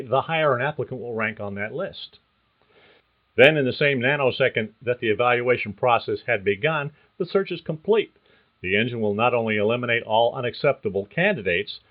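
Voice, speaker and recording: An older man talks through a scripted career-advice podcast at a measured, earnest pace. His voice sits in a middle pitch. It comes through thin and tinny, like a phone line. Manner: measured, earnest, reads like prepared narration. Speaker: podcast host and career coach.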